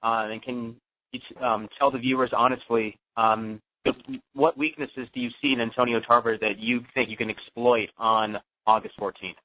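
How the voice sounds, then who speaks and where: A medium-pitched man speaks through a muffled, narrow telephone line. He questions politely as a reporter, hesitating often as he forms his phrases. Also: level tone, normal speed, dry recording. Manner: hesitant, courteous. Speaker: boxing reporter.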